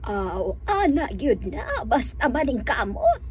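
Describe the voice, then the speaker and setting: A woman's voice: sits high, wildly swooping pitch, slow, heavily acted. A woman playing a chatty, nosy village friend in a radio drama, exclamatory and gossipy. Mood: curious.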